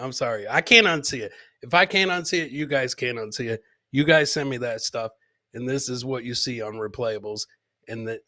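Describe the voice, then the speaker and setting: A man hosting a live comedy show, joking crudely about video clips in an animated way and repeating his lines for emphasis. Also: a little high. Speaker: podcast host.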